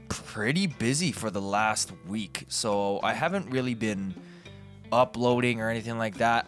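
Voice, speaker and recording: A man's voice: lowish voice, fairly bright tone. The kind of Canadian guy heard on a laid-back commentary channel, wandering off on tangents over a bed of guitar music. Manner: informal, digressive, self-correcting. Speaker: video host. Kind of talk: casual rambling commentary.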